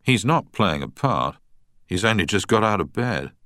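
A man voicing a chummy, conspiratorial theatre producer who lowers his voice to gossip. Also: sing-song, moderate pace, sharp tone, no echo, clean studio sound. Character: smooth-talking male theatre producer.

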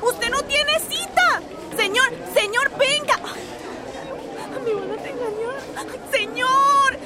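A woman's voice: extremely high pitch, takes it slowly, pushed and bright, a faint crowd, a little hiss or hum, exaggerated voice. A woman playing a flustered receptionist in a radio soap, calling out insistently and pleadingly. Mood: alarmed, pleading. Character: flustered female receptionist guarding the mayor's door.

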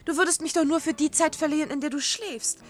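An actress playing an intimate, insistent, sleepless wife in a German science-fiction radio play, full of emotion. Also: female voice, sits high, quick pace, lightly acted. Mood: tender, pleading. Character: pleading, euphoric wife who never sleeps. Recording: vintage radio play, loud music bed. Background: music.

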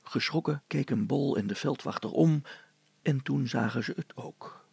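A man's voice: medium pitch, moderate pace, creaky, darkish tone, slightly rough, no room sound. A man narrating a scripted story as a storyteller.

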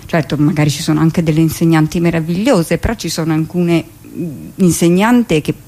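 A woman with a slightly low voice talks as a theatre guest on radio. She explains things conversationally, often interrupting herself with asides and digressions. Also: normal speed, warm tone.

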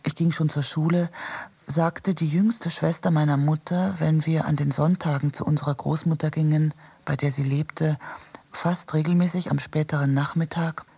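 An actor playing a reflective narrator of memories in a radio drama, quiet and measured, with a husky, breathy voice. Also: male voice, on the high side, steady tone. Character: reflective narrator recalling childhood, cinema and wartime loss. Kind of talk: literary first-person narration for radio drama.

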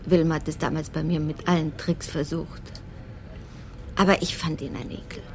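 An affectionate older wife in a radio crime drama. Her voice is on the low side and she speaks familiarly, with an inquisitive streak. There is faint noise behind her. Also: level tone, normal speed, darkish tone. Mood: fond, curious. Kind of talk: German radio play acting, intimate dialogue.

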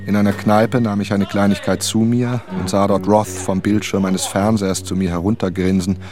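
An actor in a radio drama playing an earnest writer, narrating his own story in a sober, measured way. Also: lowish voice, little pitch movement, darkish tone, soft background music, close to an everyday voice. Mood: sober, tense. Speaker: first-person narrator.

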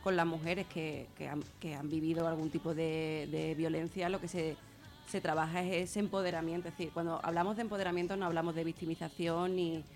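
A woman with a Spain accent and a fairly low voice talks in a radio discussion. She explains earnestly, circling back to rephrase, with music playing behind her. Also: flat delivery, a little fast, a little mellow. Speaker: guest speaker on gender violence.

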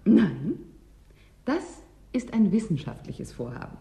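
A woman's voice: medium pitch, very animated, a little slow, creaky, mellow. An actress in a radio drama playing a determined, puzzled woman researcher newly arrived at a frozen frontier. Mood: puzzled, insistent. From North Africa (character).

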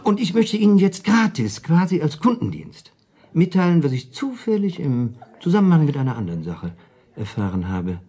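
Radio drama schemer: wry, shrewd man, husky, very lively intonation. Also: on the high side, moderate pace. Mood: scheming. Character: wry, shrewd male dinner companion and armchair plotter. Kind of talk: German radio play acting.

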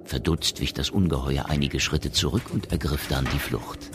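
Very deep-voiced male radio drama narrator. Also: moderate pace, heavy background noise.

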